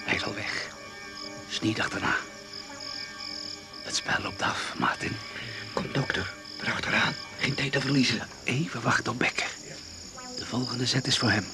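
An actor playing a sharp amateur sleuth in a radio thriller, brisk and conspiratorial. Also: male voice, mid-range voice, expressive, gravelly, over loud music. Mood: urgent. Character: sharp, urgent male amateur sleuth in the jungle. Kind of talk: radio drama acting.

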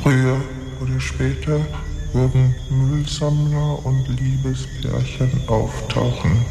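An actor playing a cold killer who recites his meticulous diary in a detached, measured monologue for a radio drama, with reverb on the voice. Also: mid-range voice, little pitch movement, vocal fry. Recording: echoey reverberant hall with music behind.

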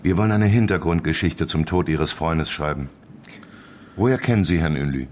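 An actor playing a terse, probing investigative reporter in a radio drama, speaking in short pointed questions. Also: male voice, extremely low pitch, moderate pace, darkish tone, a little hiss or hum. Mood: probing, sober. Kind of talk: German radio drama dialogue.

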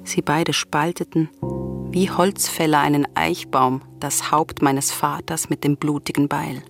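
An actress playing a grieving, vengeful princess of ancient Greece in a radio tragedy, lamenting in solemn, declamatory speech. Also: female voice, low-pitched, flat delivery, measured pace, gravelly. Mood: bitter lament. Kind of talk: classical tragedy radio drama acting. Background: music.

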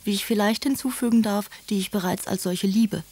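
A woman plays a gentle, devoted noblewoman writing to her future sister-in-law. Her voice is soft-toned and mellow, recorded close and dry. She phrases everything with effusive, formal politeness for a radio drama. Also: mid-range voice, brisk, no room sound, natural voice. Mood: affectionate, apologetic. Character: gentle, devoted aristocratic sister, busy young mother. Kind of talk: dramatised reading of letters, German radio play.

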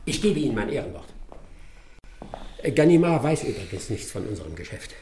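An actor playing a dry, courteous, self-assured English detective in a German radio crime comedy, speaking formally and precisely. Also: male voice, mid-range voice, sing-song, a little fast, mellow, slight background noise, natural voice. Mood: businesslike. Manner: formal, precise, dryly ironic. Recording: roomy, distant from mic, faint music.

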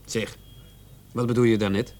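An actor playing a keen, insistent junior police detective in a radio play, speaking pointedly and emphatically. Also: male voice, fairly low pitch, flat delivery, normal speed, a little mellow, natural voice. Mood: questioning. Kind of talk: Dutch-language radio drama dialogue.

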